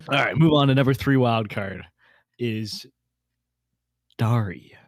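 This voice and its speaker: A man's voice: mid-range voice, takes it slowly, no room sound. A man hosting a podcast in casual, slangy chat, with a jokey, self-mocking manner. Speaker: podcast host.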